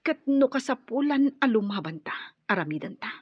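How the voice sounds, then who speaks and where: A woman's voice: fairly high pitch, expressive, normal speed, slightly rough, no echo, highs cut off. A woman with a Filipino accent playing an urgent, protective, commanding older-sister rebel fighter in a radio drama. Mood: tense, hushed urgency.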